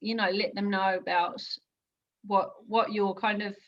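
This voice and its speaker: A woman hosting a chat show greets her guest with gushing enthusiasm. Her pitch sits in a middle range, and the recording sounds muffled and lo-fi. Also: little pitch movement, unhurried. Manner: effusive, enthusiastic, hesitant with fillers. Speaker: host. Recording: dull lo-fi audio.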